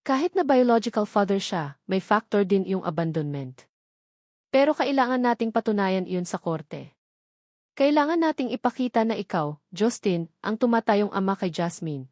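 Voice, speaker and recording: A woman's voice: medium pitch, expressive, moderate pace, darkish tone, dry recording, studio quality, natural voice. A woman voicing a bold, no-nonsense female lawyer in an audio drama, firm and matter-of-fact.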